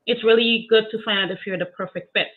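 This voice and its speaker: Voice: medium pitch, steady tone, fast, narrow, tinny audio. A podcast host interviewing a guest, asking questions and agreeing often along the way. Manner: encouraging, lots of agreeing backchannels. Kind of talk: casual interview chat.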